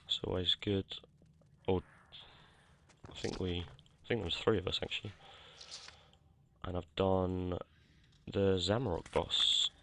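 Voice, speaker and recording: A man, croaky from a cold, casually talking over his gameplay with lots of fillers and self-corrections. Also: sits low, little pitch movement, slow pace, a bit bright, a little hiss or hum. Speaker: gameplay commentator. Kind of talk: casual game commentary.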